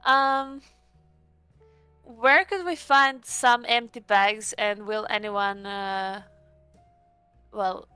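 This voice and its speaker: Woman, tabletop player: clear, bright voice on a dry mic, playful casual banter. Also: mid-range voice, fairly even intonation, very slow, long pauses, dry recording, soft background music. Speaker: player in a tabletop roleplaying game. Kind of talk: casual in-character roleplay and table talk.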